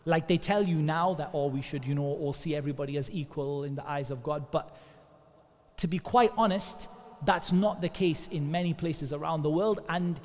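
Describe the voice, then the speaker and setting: A man from England giving an earnest, animated religious talk to an audience, telling stories with quick asides. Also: a little high. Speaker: Islamic speaker. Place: Islamic centre hall. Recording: long hall reverb.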